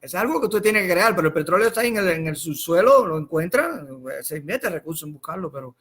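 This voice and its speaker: A Cuban man with a high-set, sharp and bright voice talks informally as a political commentator on a live stream. He explains his points in a halting way, doubling back on words and phrases and asking the listener rhetorical questions. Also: lively intonation, slightly rough. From Cuba.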